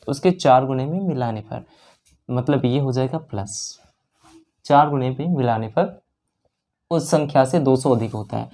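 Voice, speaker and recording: Mellow-voiced man teaching maths step by step. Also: a little high. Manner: repeats each step and checks in with listeners often; works sums aloud step by step, mixing English terms and numbers into the talk. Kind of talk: exam-prep maths lesson. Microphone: voice-over of a screen lesson.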